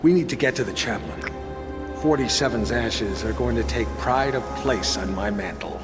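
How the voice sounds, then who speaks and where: A man's voice: mid-range voice, moderate pace, close to an everyday voice. A man playing a smug, ruthless conspiracy boss in a video game cutscene, articulate and sardonic. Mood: gloating. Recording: background music over dialogue.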